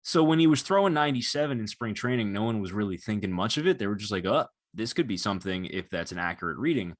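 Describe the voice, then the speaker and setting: Male podcaster, twenties, fairly low voice. Conversational, analytical sports talk. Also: lively intonation, fast talker. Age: 20s. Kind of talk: casual analytical sports chat.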